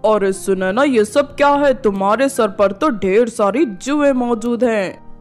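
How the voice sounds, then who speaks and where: A woman voicing an earnest, exclamatory young man in an animated fairy tale. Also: a little high, a little slow, soft background music, natural voice. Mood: shocked. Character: young man, concerned earnest suitor and fisherman.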